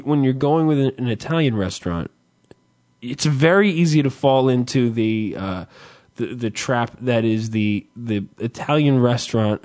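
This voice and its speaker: A man's voice: medium pitch, darkish tone. A man chatting casually, swearing freely and ranting, his voice climbing when he gets worked up. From New Jersey. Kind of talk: casual podcast chat.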